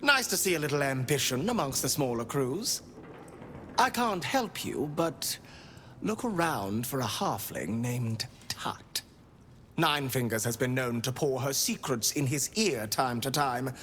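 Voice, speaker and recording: A man's voice: medium pitch, expressive, unhurried, fairly bright tone. A man playing a sardonic, streetwise underworld enforcer in a game, with a taunting manner. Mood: sly, amused. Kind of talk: dramatic game dialogue. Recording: background noise and ambience.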